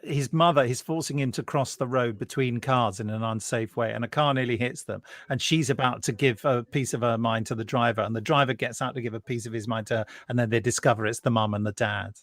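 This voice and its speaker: A British man co-hosting a podcast, recounting things in casual chat as an animated storyteller. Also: mid-range voice, fairly quick, hardly any pauses, no room sound. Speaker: podcast co-host.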